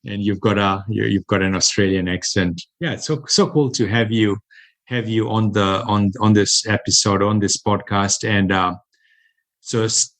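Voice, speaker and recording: An American man with a somewhat low voice hosts a podcast interview. He is upbeat and restarts often as he works out his questions. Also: steady tone, fairly bright tone. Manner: upbeat, halting, many restarts. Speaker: podcast host.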